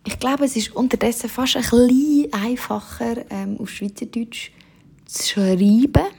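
A woman's voice: on the high side, a little slow, vocal fry, a little mellow, husky. A young woman with a Swiss German accent, a singer-songwriter casually answering interview questions with many fillers.

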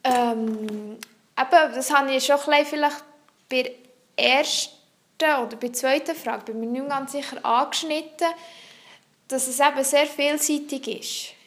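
High-voiced student. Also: female voice, fairly even intonation, normal speed. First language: French. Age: young adult. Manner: earnest, explanatory, searches for words. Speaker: psychology student. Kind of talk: answering interview questions.

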